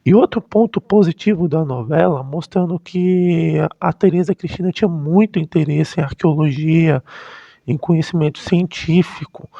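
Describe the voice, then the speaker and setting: A Brazilian man giving casual, unscripted commentary as a history vlogger, halting and often rephrasing himself mid-sentence. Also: on the high side, vocal fry, dark tone. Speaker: history YouTuber. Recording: dull, lo-fi, roomy sound.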